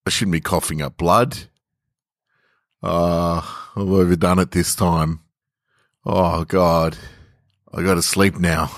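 A man playing a dying, guilt-ridden son in a dramatic monologue, halting and repeating himself as he pleads with his parents. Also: sits low, no echo, studio quality. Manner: halting, repetitive, self-reproaching. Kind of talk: dramatic first-person monologue.

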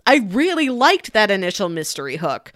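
A woman's voice: medium pitch, sing-song, normal speed, fairly bright tone, no room sound. Casual woman podcaster, close dry sound. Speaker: podcast co-host. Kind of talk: casual film discussion.

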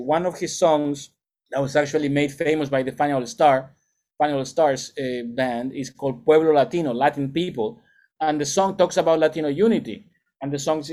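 A man's voice: a little high, a little mellow. Thoughtful man explaining music history. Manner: thoughtful, lecturing, with frequent restarts. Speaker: music educator and salsa band leader. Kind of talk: explanatory interview answers.

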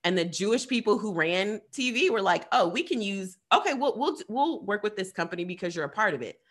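A woman's voice: mid-range voice, expressive, brisk. A woman speaking with passion and emphasis as a podcast co-host in casual discussion, with laughing asides.

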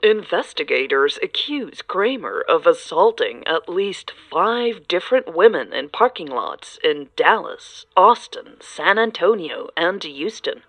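A woman's voice: lowish voice, very animated, a little slow, hardly any pauses, dry recording, faint music behind, exaggerated voice, low-quality recording. A woman playing a serious, formal news reporter reading a scripted report in an audio drama. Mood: grave, formal. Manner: formal, grave.

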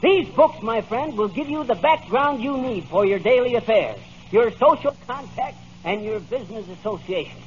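A man's voice: very high-pitched, lively intonation, moderate pace, few pauses. An actor playing a blustery, easily flustered salesman, bumbling through his patter in old-time radio comedy. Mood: puffed-up pitch. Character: blustery, easily flustered American husband turned book salesman. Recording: muffled, hissy vintage radio recording.

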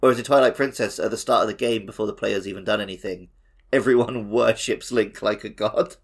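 A man's voice: fairly low pitch, fairly quick, vocal fry, husky. A man chatting casually as co-host of a gaming podcast.

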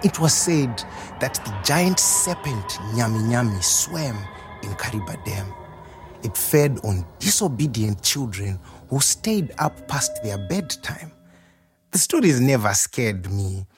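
An actor playing a proud, warm restaurant owner reminiscing in a theatrical storytelling monologue. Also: male voice, medium pitch, slow pace, over music. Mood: wry storytelling. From Zimbabwe. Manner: animated, chuckling, theatrical storyteller. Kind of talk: dramatised monologue, storytelling to a customer.

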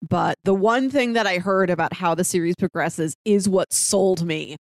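A woman's voice: on the low side, moderate pace, no room sound. A woman co-hosting a podcast, talking in a casual conversational way. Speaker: podcast co-host.

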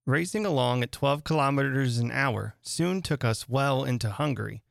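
A man narrating a literary story dramatically, with measured, deliberate phrasing and pauses. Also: mid-range voice, gravelly, no room sound, clean studio sound. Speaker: reader and host of a dramatic reading radio show.

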